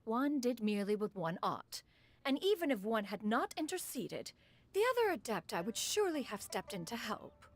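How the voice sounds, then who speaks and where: A woman's voice: a little high, big pitch swings, normal speed, no echo. Proud old bird spirit lady, super formal, talks about herself as "one", voice goes up and down a lot. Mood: haughty. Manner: lofty and archaic, refers to herself as "one". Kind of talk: video game voice acting.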